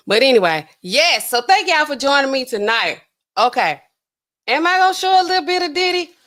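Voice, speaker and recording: A woman, hosting a casual livestream and chatting through viewer comments in a sassy, animated way. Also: medium pitch. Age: 40s. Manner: chatty, sassy, animated swings between loud and hushed. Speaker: livestream host.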